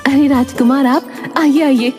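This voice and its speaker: A woman's voice: high-pitched, steady tone, measured pace, warm tone, pitched above the performer's own voice. A woman voicing a poor, kindly village wife and mother in a cartoon story, emotional and respectful. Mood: welcoming. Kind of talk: Hindi animated moral story dialogue. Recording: music under the voice.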